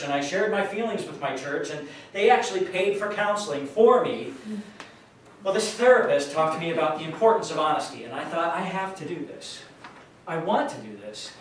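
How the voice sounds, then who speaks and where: A man's voice: sits high, a bit bright. Echoey, distant man acting an earnest youth worker. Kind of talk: staged reading monologue. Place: live staged reading in a large room. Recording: very echoey hall, distant mic, faint noise.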